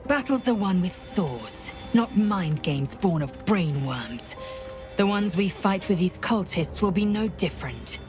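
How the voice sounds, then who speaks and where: A woman's voice: lowish voice, animated, unhurried, music in the background. A woman playing a stern, suspicious warrior in a video game, speaking in a formal, commanding manner. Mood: grim, resolute. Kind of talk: video game character acting.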